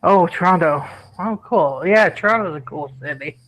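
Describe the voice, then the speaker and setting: A woman's voice: lowish voice, normal speed, rough recording. A woman in her thirties chatting casually in an online group, self-mocking and easygoing. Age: 30s. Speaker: livestream chat participant.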